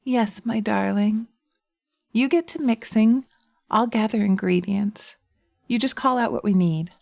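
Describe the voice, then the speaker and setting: A woman plays a doting, lovestruck witch in an audio drama. She speaks at a leisurely pace with creak in her voice, cooing sweetly and gently. The sound is close and very dry. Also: mid-range voice, measured pace, no room sound. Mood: sweet, amused.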